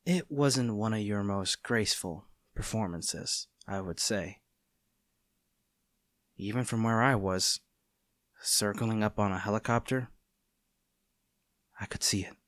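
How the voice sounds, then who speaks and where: Man acting a slow, smug, low-voiced agent. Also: fairly bright tone, no echo, clean studio sound. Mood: smug, taunting. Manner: measured, theatrical, taunting, with deliberate pauses. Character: smug, taunting male agent, villain's bitter former childhood friend. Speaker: audio roleplay performer. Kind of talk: dramatic scripted roleplay monologue.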